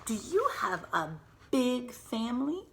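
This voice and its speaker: A woman voicing a curious, excitable little girl while reading a picture book aloud. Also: a little high, slow pace, creaky, slight background noise, voice pushed up, full character voice. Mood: polite curiosity.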